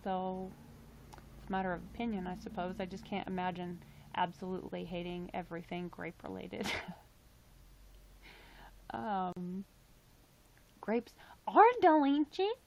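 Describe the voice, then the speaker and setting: A young woman live streaming, chatting casually in a raspy, tired voice, rambling and swearing now and then. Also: lowish voice, measured pace, long pauses, a little hiss or hum.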